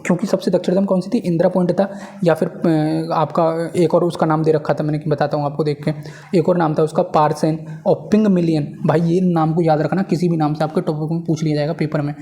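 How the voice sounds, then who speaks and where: A man's voice: on the high side, flat delivery, brisk, warm tone. A man teaches in an informal lecture style, repeating key points and often checking that listeners follow. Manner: repetitive, checking understanding with tag phrases. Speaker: teacher for exam preparation. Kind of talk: informal lecture explaining at a board.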